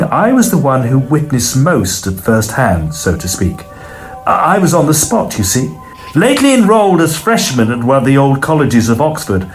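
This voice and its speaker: An actor playing a modest, polite young Victorian Oxford student with a British accent, narrating an audio play a little hesitantly. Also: male voice, medium pitch. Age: young adult. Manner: hesitant, polite, chatty old-fashioned phrasing. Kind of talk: dramatised first-person audio play narration.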